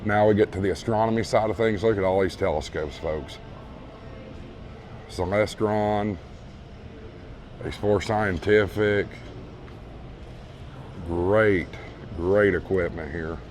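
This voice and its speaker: A hoarse man losing his voice, casually walking viewers through a large store and calling them folks. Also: sits low, fairly even intonation, slow, long pauses, darkish tone, slight background noise. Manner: easygoing, repeats praise, addresses viewers as folks. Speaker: vlog host touring a store. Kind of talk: casual walkthrough narration. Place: large retail store indoors. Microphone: handheld phone camera.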